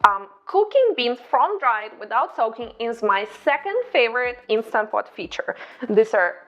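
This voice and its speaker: A chatty woman with a Ukrainian accent walks viewers through her cooking staples in a home kitchen. Her voice sits high, and the recording sounds slightly dull and lo-fi. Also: lively intonation, moderate pace. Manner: upbeat, chatty, slightly non-native phrasing. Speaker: cooking channel host. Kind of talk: casual cooking demonstration to camera.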